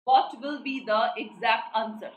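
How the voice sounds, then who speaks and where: An Indian English woman tutor runs a live online class, repeating each question and pressing students for the answer. Her voice sits a little high. It is recorded in an echoey room with faint hiss behind it. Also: measured pace, dull audio. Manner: repetitive, prompting students for answers.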